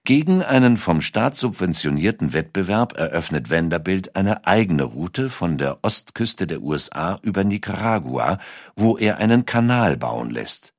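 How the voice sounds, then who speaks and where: A man's voice: low voice, very animated, moderate pace, no room sound, studio quality. A man reading a scripted documentary narration as its narrator.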